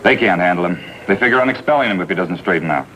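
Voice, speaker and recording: An actor playing a plain-spoken American juvenile officer, brisk and clipped, in a 1950s radio drama. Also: male voice, deep voice, lively intonation, a bit bright, muffled recording. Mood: matter-of-fact. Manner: brisk, clipped, matter-of-fact. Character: weary, plain-spoken male juvenile police officer briefing colleagues. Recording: old radio recording, faint noise.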